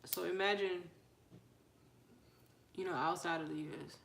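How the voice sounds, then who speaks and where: A woman's voice: lowish voice, steady tone, moderate pace, creaky, roomy sound, a little hiss or hum. A woman hosting a casual livestream, chatting with viewers, reading their comments and welcoming newcomers. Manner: chatty, asks viewers questions, shouts out newcomers. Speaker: livestream host.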